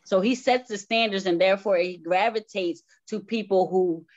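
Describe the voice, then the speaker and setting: A woman talks casually as an interview guest from a stage play cast. She is emphatic, circling back on her phrases to press a point. Her pitch is middling, and the audio is dull and lo-fi. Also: moderate pace, darkish tone. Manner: emphatic and repetitive, builds on phrases. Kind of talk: casual talk show interview.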